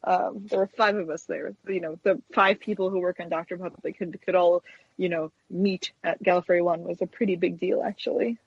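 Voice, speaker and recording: A woman's voice: lowish voice, fairly even intonation, fairly quick, creaky, breathy edge, no room sound, highs cut off. An American woman chatting casually as an interview guest, telling anecdotes in a hesitant, rambling way peppered with "you know". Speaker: guest, stop-motion puppet animator.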